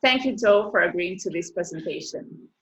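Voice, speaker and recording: A woman hosting an online alumni event, reading prepared welcome remarks at a measured pace. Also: on the low side, lively intonation, fairly bright tone, poor audio. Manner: measured, reads prepared introductions. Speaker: event host.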